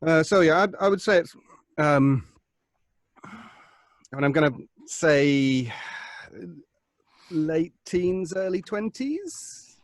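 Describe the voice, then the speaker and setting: A man's voice: fairly high pitch, animated, takes it slowly, pauses often, no echo, clean studio sound. A British man, a podcast voice actor, chatting casually in a group. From Britain.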